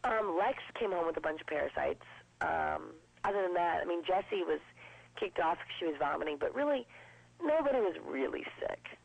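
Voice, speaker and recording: Low husky-voiced young woman. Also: lively intonation, dry recording. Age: 20s. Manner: chatty and upbeat, quick with jokes. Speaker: interview guest. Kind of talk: casual interview. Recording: dull lo-fi broadcast sound.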